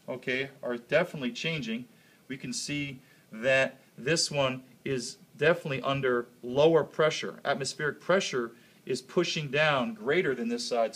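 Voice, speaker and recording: A man with a husky, slightly rough voice at a middling pitch teaches chemistry. He explains a demonstration step by step, in a practical, hands-on way, often checking in with a quick okay.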